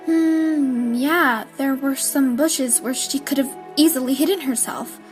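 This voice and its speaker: A woman's voice: high-pitched, soft background music. A woman playing an anxious, fragile schoolgirl in an audio drama, speaking hesitantly and narrating her own story. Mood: pensive. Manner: hesitant, halting. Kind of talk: anime-style audio drama acting.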